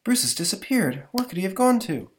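A man's voice: sits high, sing-song, brisk, a little hiss or hum, voice pushed up. A man doing a worried young woman. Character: young woman, anxious daughter.

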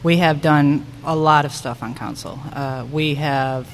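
A woman speaking as a council member and candidate at a public forum, haltingly searching for her words. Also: low-pitched, fairly even intonation. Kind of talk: semi-improvised campaign remarks at a public forum. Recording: echoey hall with background noise.

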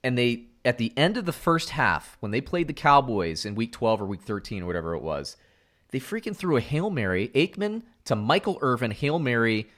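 A middle-aged man from Central Florida co-hosting a casual sports podcast, animated, going from loud outbursts to muttered asides. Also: mid-range voice, normal speed. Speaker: podcast co-host.

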